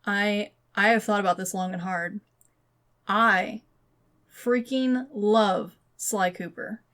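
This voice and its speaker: A woman's voice: mid-range voice, steady tone, takes it slowly, fairly bright tone. A woman podcast co-host chatting casually and giving her opinions in an informal, loosely phrased way. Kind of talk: casual chat about games and movies.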